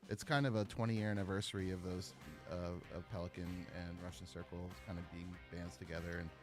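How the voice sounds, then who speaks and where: A man's voice: low-pitched, level tone, a little fast, faint music behind. A man guest on a radio show talking about a festival he helps run, easygoing and prone to repeating words as he starts. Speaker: festival promoter and sound engineer guest. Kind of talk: casual interview chat.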